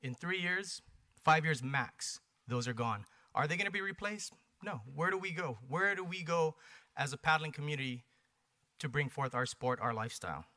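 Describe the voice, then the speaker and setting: A man's voice: a little high, normal speed, pushed and bright. A man from Hawaii with an American accent giving a persuasive public presentation, emphatic, in short punchy phrases and rhetorical questions. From Hawaii, United States. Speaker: presenter for a paddling community. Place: planning commission meeting.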